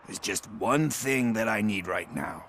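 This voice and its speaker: A man playing a desperate, bitter junkie informant in a video game, rambling and pleading with rough language. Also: fairly low pitch, expressive, a little slow, fairly bright tone, slight background noise. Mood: bitter, defensive. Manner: rambling, foul-mouthed, pleading. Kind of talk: video game cutscene acting.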